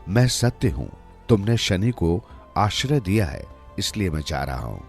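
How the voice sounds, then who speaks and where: A male storyteller plays a solemn divine apparition from a moral fable. The voice is deep and grave in its declarations, with faint music beneath. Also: big pitch swings, unhurried, gravelly, exaggerated voice. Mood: solemn, aggrieved. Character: solemn divine apparitions, departing goddess and virtues. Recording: music under the voice.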